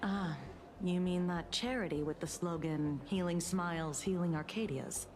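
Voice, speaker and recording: A woman's voice: fairly low pitch, moderate pace, music in the background, close to an everyday voice. An actress playing a sardonic, composed female police detective in a video game, dry and teasing. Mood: pensive. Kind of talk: dramatic video game dialogue.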